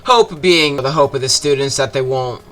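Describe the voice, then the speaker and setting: A man's voice: mid-range voice, moderate pace, a bit bright, slight background noise. A man giving a casual, jokey, scripted video game review as a YouTuber, with some swearing.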